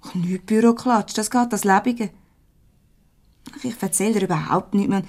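An actress with a Swiss accent playing a chatty, easily exasperated young secretary in a radio comedy. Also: female voice, medium pitch, moderate pace, darkish tone, close to an everyday voice. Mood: hurt, sulky.

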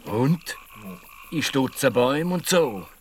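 A man playing a gruff, unfriendly old moor keeper in a children's radio play, speaking curtly. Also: mid-range voice, animated, a little hiss or hum. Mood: grumpy, defensive. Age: older. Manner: curt, grumpy, slow.

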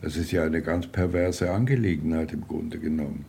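A man in his eighties, an actor, talking in a halting, ruminative way for a radio piece. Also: very deep voice, vocal fry, warm tone, a little hiss or hum. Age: 80s.

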